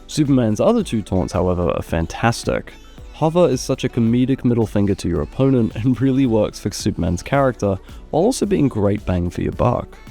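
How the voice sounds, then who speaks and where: A man narrating scripted gaming ranking commentary in a measured, opinionated, critical way. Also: on the low side, gravelly, dark tone. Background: background music.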